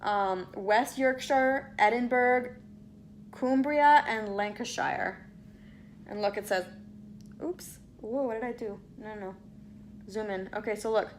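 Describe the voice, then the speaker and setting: A young woman talks casually to her viewers, reading out lines with chatty asides. Her voice sits at a middle pitch. A faint hiss lies under the home recording. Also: slow. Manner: chatty, reads aloud with asides, stumbles over place names. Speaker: vlogger. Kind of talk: casual vlog reading out results.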